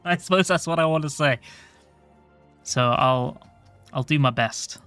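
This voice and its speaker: A soft-voiced man rambles to his viewers during a livestream, swearing offhandedly. His tone is bright and forward, and the recording is dry and close. Faint game music plays underneath. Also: fairly high pitch, a little slow, clean tone, no room sound. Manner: rambling, chatty, swears casually. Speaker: game streamer. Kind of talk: casual livestream chat.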